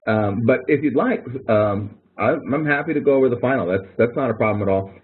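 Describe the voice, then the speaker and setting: A man's voice: medium pitch, a little fast. A man instructing students in an informal online webinar, explaining in a rambling, hesitant way with repeated words. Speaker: instructor running a help webinar. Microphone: online webinar audio connection. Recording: narrow, tinny online-call audio.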